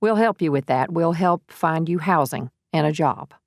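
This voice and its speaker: An earnest female defense lawyer with a low voice. She is measured and soothing, reassuring as she goes, in dramatic audiobook dialogue recorded dry. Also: animated, no room sound.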